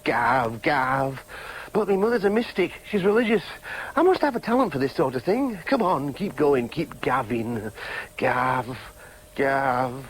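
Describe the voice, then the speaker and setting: An actor playing an anxious, hopeful young man questioning himself in a radio drama monologue. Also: mid-range voice. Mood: chanting, distracted. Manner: self-questioning interior monologue. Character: anxious, hopeful, searching young man seeking his parents.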